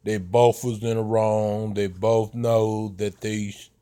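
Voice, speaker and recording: Man, 30s, vlogger talking slow with lots of "uh"s, lowish voice. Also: little pitch movement, dark tone, smooth. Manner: slow, halting, many fillers and restarts. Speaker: vlogger giving commentary. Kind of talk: casual commentary.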